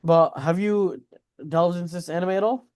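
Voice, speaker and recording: A man's voice: high-pitched, moderate pace, a little mellow, smooth. A man co-hosting a podcast, reacting to news in a casual, slangy way with mild swearing. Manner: slangy, informal, swears mildly. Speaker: podcast co-host.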